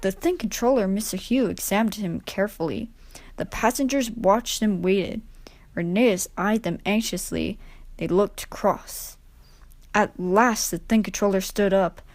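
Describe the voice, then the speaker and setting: A woman's voice: medium pitch, unhurried, no echo. A woman reading a children's story as a scripted narration.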